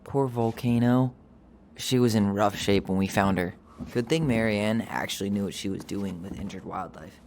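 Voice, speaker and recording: A man's voice: fairly low pitch, normal speed, a little mellow, slight background noise, natural voice. An actor playing a wry, guarded but sincere young man in a video game, with an American accent. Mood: reflective. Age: young adult. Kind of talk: naturalistic game dialogue.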